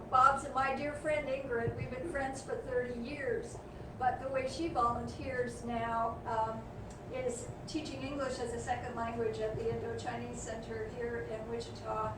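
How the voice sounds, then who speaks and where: Audience woman, high voice, effusive and halting; distant, echoey, noisy room. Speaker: audience member. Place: bookstore event audience.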